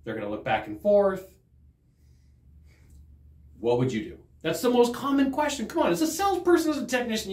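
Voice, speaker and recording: A middle-aged man teaching sales technique straight to camera, emphatic and gesturing as he talks. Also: high voice. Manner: animated, emphatic, gesturing while talking. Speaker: sales trainer. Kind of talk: direct-to-camera sales lesson. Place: studio in front of a green screen. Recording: roomy studio echo.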